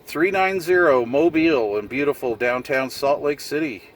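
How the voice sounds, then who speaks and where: A man's voice: mid-range voice, moderate pace. A man chatting casually in CB radio slang with a folksy, jokey manner. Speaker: CB radio operator filming a vlog. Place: outdoors in a vehicle on a hillside. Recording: distant mic with background noise.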